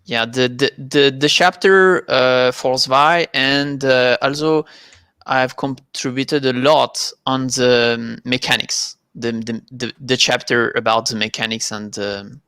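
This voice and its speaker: Man with a bright French accent. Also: mid-range voice, fairly even intonation, clear, resonant tone, no room sound, clean studio sound. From France. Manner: halting, many fillers and repeated words. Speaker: guest, competitive fighting game player. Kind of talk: casual interview over a live video call.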